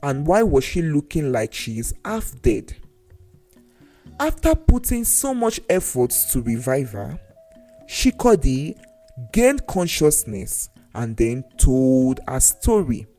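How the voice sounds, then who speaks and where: A storyteller narrating a scripted folktale in a deliberate, dramatic way, with pauses between phrases. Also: medium pitch, sing-song, mellow. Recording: voice over faint music. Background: faint background music.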